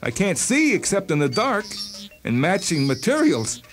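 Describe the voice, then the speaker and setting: An actor playing an irate, aggrieved shopkeeper patient in a radio drama, whiny and complaining. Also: male voice, high voice, wildly swooping pitch, moderate pace, faint music behind. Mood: indignant. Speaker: actor voicing a character.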